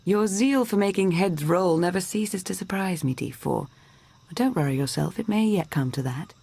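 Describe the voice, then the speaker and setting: Shrewd game adviser, gravelly fry, breathy edge, dry wit, formal. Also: female voice, lowish voice, normal speed, dark tone. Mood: wry. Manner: articulate, formal, dryly witty. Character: shrewd, wry female imperial adviser. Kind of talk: video game character acting.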